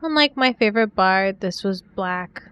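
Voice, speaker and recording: A young woman from the 1940s pours her heart into letters to a secret love, as a woman reads them aloud in a game. Her voice is smooth and clear, of middling pitch, and she reads softly and sincerely. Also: lively intonation, normal speed, muffled recording, lightly acted. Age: young adult.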